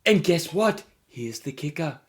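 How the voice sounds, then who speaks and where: A man voicing a cheerfully reckless cave-diving video narrator in a mock British accent, loud and over-emphatic. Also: a little high, animated, measured pace, voice pushed up. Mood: breezy, emphatic.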